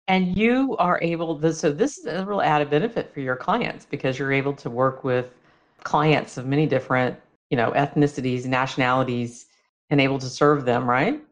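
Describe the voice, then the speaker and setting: A man hosting a casual interview, affirming what he hears and haltingly rephrasing his questions as he goes. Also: medium pitch. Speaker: interviewer, host.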